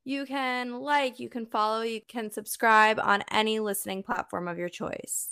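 Woman, hoarse, higher pitch, smooth voice, podcast outro. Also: steady tone, moderate pace, pushed and bright. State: hoarse from a cold. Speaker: podcast co-host.

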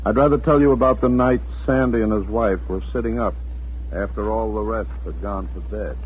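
A man's voice: on the low side, moderate pace, slight background noise, natural voice. A man narrating a 1940s radio drama as a folksy storyteller, scripted and familiar. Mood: folksy, measured. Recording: muffled, narrow 1940s radio recording.

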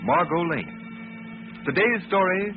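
A man's voice: high-pitched, big pitch swings, slow, very noisy. A male announcer reading a script emphatically in 1930s radio style, on a tinny old recording. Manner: emphatic, declamatory.

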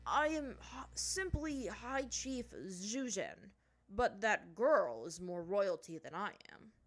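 Woman playing a stern, measured older male chief. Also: mid-range voice, animated. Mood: humble, reverent. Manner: formal, measured, authoritative. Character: dignified, stern older male high chief of fire people.

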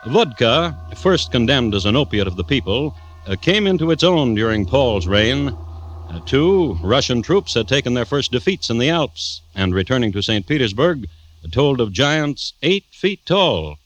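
A man narrating a vintage radio crime drama as host, deliberate and drily droll. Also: mid-range voice, sing-song. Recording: noisy old radio recording.

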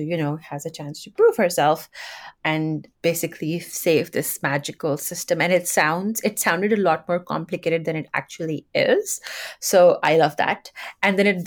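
Chatty low-voiced woman, Urdu native. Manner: enthusiastic, rambling with restarts. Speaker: podcast co-host. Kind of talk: casual book chat.

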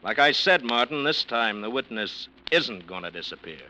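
An actor playing a tough, wry American plainclothes detective who talks in pointed slang in an old radio crime drama. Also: male voice, mid-range voice, expressive, normal speed, natural voice. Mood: needling, warning. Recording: faintly noisy old radio recording.

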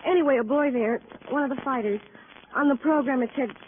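Thin, faintly hissy old radio sound. A woman acts a distraught young American working woman with a highish voice, speaking haltingly and emotionally, repeating herself as in classic radio drama. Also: natural voice. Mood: shaken, recounting. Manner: emotional, halting, repetitive phrasing. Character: distraught, anxious young working woman pleading for her friend. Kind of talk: 1940s-50s radio drama acting. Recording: old radio recording, narrow band, hiss.

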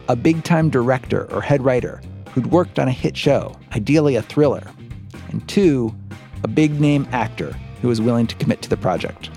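A man's voice: mid-range voice, vocal fry, darkish tone. An American man hosting and narrating a podcast in a scripted but conversational storytelling style.

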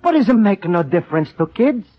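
A man's voice: sits high, big pitch swings, a little fast. An actor playing a kindly, earnest immigrant man as a store Santa, with an Italian accent and broken English, in old radio style. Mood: hurt, gentle. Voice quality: broken English with extra vowels. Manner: earnest, apologetic, eager to please. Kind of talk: old radio comedy-drama acting. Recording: dull, lo-fi old radio recording.

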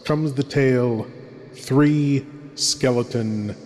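A man playing a theatrical horror host, sinister and grandly courteous, speaking in dramatic, ornate phrases with long pauses. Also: medium pitch, a little mellow, close to an everyday voice. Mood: ominous. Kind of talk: theatrical scripted horror introduction. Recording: long cavernous reverb.

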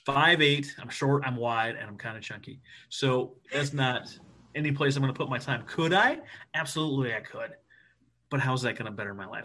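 A man from the United States, a Navy officer guesting on a podcast, talking in an animated, emphatic and self-deprecating way. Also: mid-range voice. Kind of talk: casual podcast interview.

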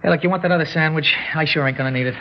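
A male actor plays a young small-time crook with a slightly high voice. He talks in rough, uneducated slang, brusque and cocky. The sound is that of a muffled vintage radio drama. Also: rapid-fire, a little hiss or hum, lightly acted. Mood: impatient. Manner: brusque, slangy tough-guy talk. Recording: dull old radio recording.

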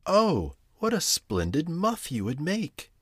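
A man's voice: medium pitch, big pitch swings, takes it slowly, darkish tone, dry recording, studio quality, pitched above the performer's own voice. A man voicing a shy little freckled girl frog who speaks in a sweet, pleading way. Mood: excited.

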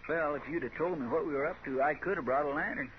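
An American actor playing a folksy, deferential, grumbling deputy in a 1950s radio Western, with a rural drawl. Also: male voice, fairly high pitch, quick pace, close to an everyday voice. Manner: drawling, plain-spoken, deferential. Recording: muffled, very noisy old radio recording.

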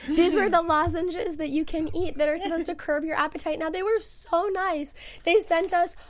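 A woman's voice: very high voice, steady tone, normal speed. A woman who has lost her voice, hosting a casual TV after-show chat, chatty and self-mocking, leaning into a studio mic. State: hoarse, lost voice. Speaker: host.

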